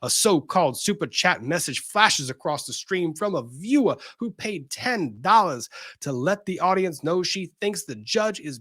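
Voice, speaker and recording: A man's voice: fairly high pitch, fairly bright tone, no room sound, clean studio sound. A man doing a dramatic reading of a legal document, stressing quoted phrases theatrically and dropping in short asides. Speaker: host of a legal commentary show.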